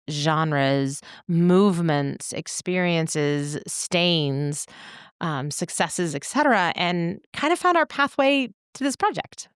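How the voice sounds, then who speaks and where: A woman co-hosting a podcast, telling stories in a casual, reflective way with thoughtful, measured phrasing. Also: on the low side, clean tone, no room sound, clean studio sound. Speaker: podcast co-host. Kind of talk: casual reflective storytelling in conversation.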